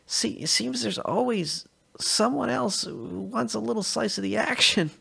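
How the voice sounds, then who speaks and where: Brief: male narrator doing a sly raspy smuggler boss, high and jittery. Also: animated, measured pace, sharp tone, heavily acted. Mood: nervous bluster.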